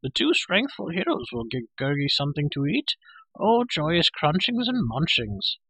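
A man's voice: on the high side, very animated, moderate pace, pushed and bright, slightly rough, dry recording, pitched above the performer's own voice, exaggerated voice, rough recording. A man voicing a hairy, half-animal storybook creature who wails, pleads and fawns hungrily. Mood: eager, fawning. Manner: wailing, pleading, fawning, speaks of himself in third person. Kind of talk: storybook character voice.

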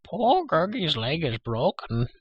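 A man's voice: on the high side, big pitch swings, takes it slowly, husky, no echo, dull audio, pitched above the performer's own voice, heavily acted. A man voicing a greedy, fawning, whimpering hairy creature for an audiobook, pleading and full of self-pity. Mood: anguished moaning. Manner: pleading, self-pitying, third-person chatter. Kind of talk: audiobook character voice.

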